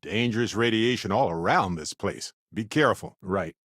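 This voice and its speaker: A man playing an affable, wry, adventurous space explorer companion in a video game, with playful, expressive delivery. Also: fairly low pitch, moderate pace, slightly rough, no room sound, studio quality. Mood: alert.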